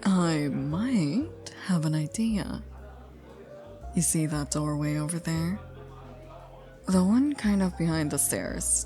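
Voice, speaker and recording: Flirty, teasing party charmer played by a woman, unhurried and mellow, playful coaxing for an intimate ASMR roleplay. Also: sits low. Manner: playful, coaxing, drawn-out teasing with breathy asides. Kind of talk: ASMR roleplay, intimate one-sided dialogue.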